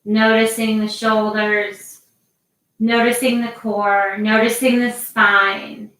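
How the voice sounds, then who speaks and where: A woman guiding a yoga class with slow, calm cues and long pauses between them. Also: on the high side. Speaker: yoga teacher. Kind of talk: guided yoga instruction. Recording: very echoey, voice from a distance.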